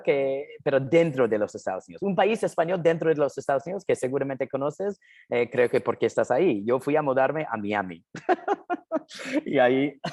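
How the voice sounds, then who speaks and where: A man's voice: medium pitch, darkish tone, no echo. A man from New Jersey whose first language is English, speaking Spanish with an American accent, a hesitant guest talking in a casual interview, full of fillers. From New Jersey, United States. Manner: hesitant, many "eh" fillers and restarts. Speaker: guest, documentary filmmaker.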